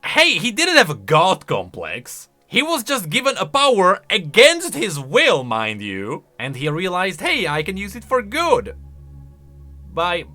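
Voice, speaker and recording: Male game commentator, slightly high bright voice, wry and opinionated, casual reaction style. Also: wildly swooping pitch, a little slow, faint music behind. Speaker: video game lore commentator.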